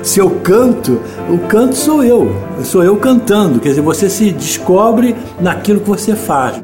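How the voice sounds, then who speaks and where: A man's voice: sits high, sing-song, unhurried. Brazilian man explaining over guitar music. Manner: emphatic, repeats phrases for emphasis. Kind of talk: reflective explanation.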